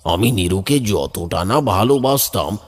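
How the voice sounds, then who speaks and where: A man narrating a first-person horror story, somber and measured. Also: low-pitched, lively intonation, slightly rough. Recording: background music.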